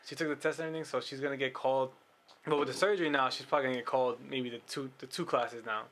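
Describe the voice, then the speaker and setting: A man's voice: medium pitch, steady tone, very fast, a bit bright. A young man, a podcast guest talking casually about his temper and family in short, plain replies. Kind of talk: casual chat with an old friend.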